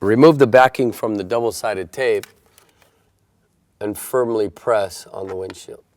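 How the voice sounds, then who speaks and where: Man, lower-pitched voice, methodical how-to narration, plainspoken installation instructor. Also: unhurried, a little mellow. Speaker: installation tutorial presenter.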